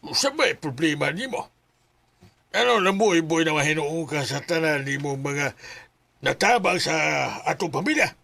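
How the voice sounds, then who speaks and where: A man's voice: fairly high pitch, lively intonation, moderate pace, gravelly, sharp tone, heavily acted. A man with a Cebuano accent playing a confrontational younger brother in a Bisaya radio drama. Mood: accusing. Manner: accusing, demanding.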